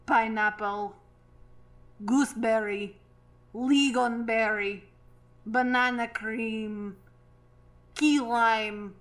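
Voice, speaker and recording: A ponderously slow, solemn Cossack. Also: female voice, fairly high pitch. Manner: ponderous, drawn-out delivery. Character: solemn, long-winded pie-eating Cossack storyteller. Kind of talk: comic audio drama monologue.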